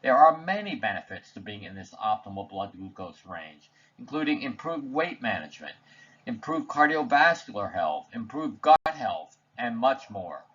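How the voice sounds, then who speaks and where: A man reading a scripted slide presentation voice-over in a measured, formal, technical manner. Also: medium pitch, very animated, fairly bright tone, dull audio. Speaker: presenter narrating study results.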